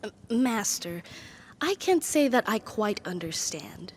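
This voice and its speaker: A woman's voice: medium pitch, very animated, slow. Woman voicing a formal, devoted demon servant, noisy recording. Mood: uneasy, confused. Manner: formal, stately, breathy sighs and whispers. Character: powerful female demon, devoted and possessive servant. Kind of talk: ASMR roleplay monologue.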